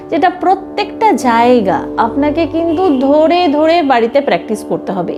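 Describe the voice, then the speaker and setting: Female music teacher, high voice, emphatic explanatory delivery, instrument playing behind. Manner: explanatory, emphatic, with English terms mixed in. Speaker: singing teacher. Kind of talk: instructional music lesson to camera.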